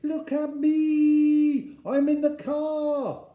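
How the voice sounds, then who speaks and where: A man voicing an excitable three-year-old boy, shouting in drawn-out, sing-song calls. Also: very high voice, reverberant, muffled recording, voice pushed up, heavily acted. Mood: gleeful.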